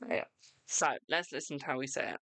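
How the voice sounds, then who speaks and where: A British tarot reader hosting a live stream, chatting casually and answering viewers' questions with a brisk "my darling". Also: mid-range voice, steady tone, sharp tone, no echo. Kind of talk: casual live-stream chat and card readings.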